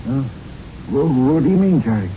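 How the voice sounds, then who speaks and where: An actor playing a weary, grumbling older boxing gym owner in a radio drama, talking in streetwise slang. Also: male voice, expressive, normal speed, warm tone, lightly acted. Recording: muffled old radio broadcast, faint rumble.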